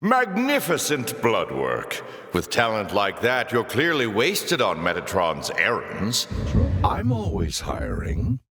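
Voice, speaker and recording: Very deep, suave demon lord. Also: male voice, wildly swooping pitch, slow pace, a bit bright, full character voice. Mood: sly, tempting. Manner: mock-courteous, sly, grandiloquent. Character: suave, sardonic male demon lord, theatrical tempter. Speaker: arena master taunting the player. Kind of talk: theatrical video game villain acting. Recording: echoey hall reverb.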